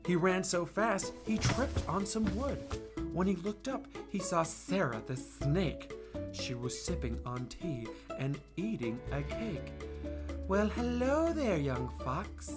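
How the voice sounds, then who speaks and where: A woman hosting storytime, reading a children's picture book aloud in a playful, expressive way that speaks straight to listeners. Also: lowish voice, slow pace, loud music behind the voice. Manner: playful, animated, addresses listeners directly. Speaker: storytime host and book reader.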